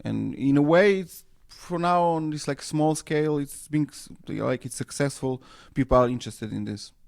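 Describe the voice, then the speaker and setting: A man from Serbia, speaking English as a second language, answering radio interview questions with many pauses and fillers. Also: on the high side, gravelly, darkish tone. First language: Serbo-Croatian. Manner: hesitant, many fillers and restarts. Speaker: interview guest, anarchist newspaper editor.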